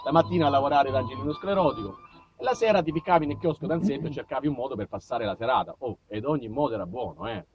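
A man with a southern Italian accent performing a comic monologue, theatrical and mock-pompous, moving between muttered asides and loud outbursts. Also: mid-range voice, expressive, quick pace, highs cut off. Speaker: stage monologist. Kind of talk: comic autobiographical storytelling to a live audience.

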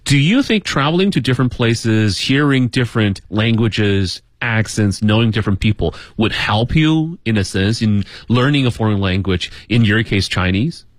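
Male co-host, animated pitch, jokey, dry studio sound. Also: moderate pace, hardly any pauses, no room sound. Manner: animated, jokes and laughs often. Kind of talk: casual studio chat.

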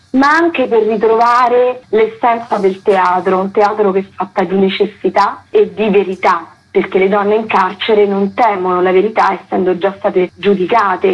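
A woman's voice: medium pitch, husky. A woman giving explanatory answers as a theatre director in a radio interview, on muffled audio. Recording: slightly dull radio audio.

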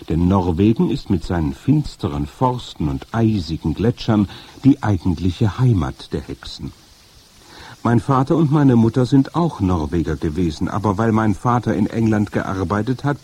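Husky man reading a story dramatically. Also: on the low side, warm tone. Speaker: narrator. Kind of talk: first-person audiobook reading.

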